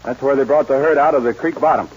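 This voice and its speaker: A man's voice: medium pitch, quick pace, a little mellow, lightly acted. Male actor as young American cowboy hero, bold, folksy, vintage radio western with dull lo-fi sound. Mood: bold, determined. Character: bold, resolute young cowboy ranch hand. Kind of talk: 1940s radio western acting. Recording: muffled, hissy 1940s radio recording.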